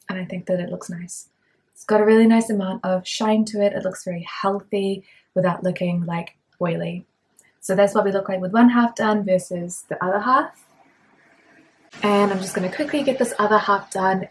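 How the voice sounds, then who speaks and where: A young adult woman reviewing a beauty product at home in a casual, chatty way, her voice lowered by illness. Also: breathy edge. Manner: chatty, frequent fillers. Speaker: beauty vlogger. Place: at home indoors.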